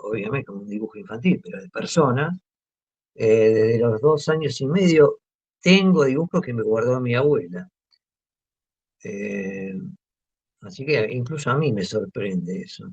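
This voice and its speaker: An Argentine man speaks in a gravelly, creaky voice, pausing often and restarting his sentences. The sound is muffled and lo-fi, as if from a modest interview recording. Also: mid-range voice, lively intonation, a little mellow. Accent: Argentine Spanish. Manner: hesitant, many fillers and restarts. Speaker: guest artist. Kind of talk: relaxed interview talk. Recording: muffled lo-fi audio.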